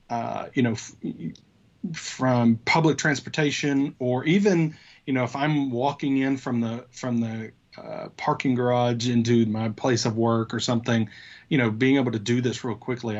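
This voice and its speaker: A man co-hosting a tech talk show, chatting casually and haltingly, rephrasing as he goes. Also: medium pitch, lo-fi sound. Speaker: show co-host. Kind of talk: casual conversation.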